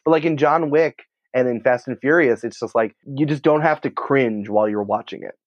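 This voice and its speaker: Guy chatting in an interview, kinda muffled dry recording, excitable and rambly, says like a lot. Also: mid-range voice, brisk, dry recording. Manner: informal, rambling, excitable, peppered with "like". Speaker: podcast writer and producer, interview guest. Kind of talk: casual conversational interview.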